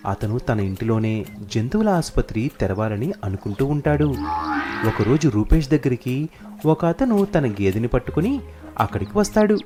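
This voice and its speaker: A man's voice: medium pitch, big pitch swings, normal speed. A man narrating a scripted children's story. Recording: music behind the narration.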